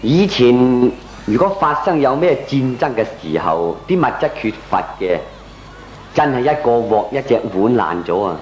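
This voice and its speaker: A man's voice: mid-range voice, very animated, normal speed, a little hiss or hum, lightly acted. An actor playing a frugal, good-humoured ordinary man, explaining things expressively in comic radio drama with a Hong Kong accent. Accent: Hong Kong Cantonese. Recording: echoey old radio drama recording.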